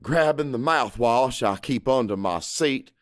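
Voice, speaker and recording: A man narrating first-person fiction as an audiobook, dramatic and deliberate in his phrasing, with pauses. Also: medium pitch, expressive, no room sound, clean studio sound.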